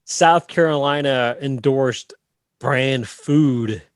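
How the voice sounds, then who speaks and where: A man's voice: medium pitch, slow, no room sound, clean studio sound. A man co-hosting a comedy podcast, bantering playfully with teasing quips. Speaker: podcast co-host.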